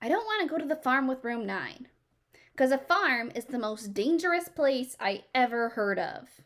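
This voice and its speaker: Woman voicing emphatic little girl, wildly swooping pitch. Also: big pitch swings, moderate pace, fairly bright tone. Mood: defiant. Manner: childlike, emphatic, exaggerated. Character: dramatic, stubborn, frightened little girl narrating storybook.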